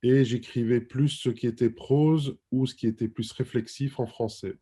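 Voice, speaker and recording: A middle-aged man answering interview questions thoughtfully, with many pauses and fillers. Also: mid-range voice, steady tone, mellow, husky. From France. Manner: thoughtful, hesitant with frequent fillers. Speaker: guest writer and publisher.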